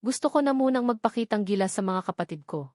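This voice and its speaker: A woman's voice: medium pitch, lively intonation, quick pace, a little mellow, no echo, studio quality. A woman reading scripted first-person novel narration as an audiobook narrator.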